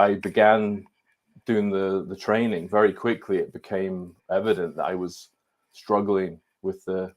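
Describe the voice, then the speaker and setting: A man in his forties from Scotland, his accent softened by years in London, a guest in a casual online chat who often repeats words as he gets going. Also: lowish voice. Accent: softened Scottish with London influence. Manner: halting, repeats words as he starts sentences. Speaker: guest, ADHD coach.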